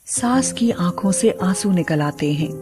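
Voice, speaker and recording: Slow-paced female story narrator. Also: lowish voice, lively intonation, music in the background. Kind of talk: scripted dramatic story narration.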